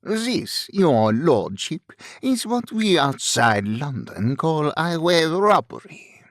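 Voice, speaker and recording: Man acting a young highwayman, French accent, grating, taunting, animated, storybook villain. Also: slow, dry recording, studio quality, full character voice. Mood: mocking, amused. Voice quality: grating, artificially deepened voice. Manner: mocking, taunting. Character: young masked French highwayman, mocking and brazen.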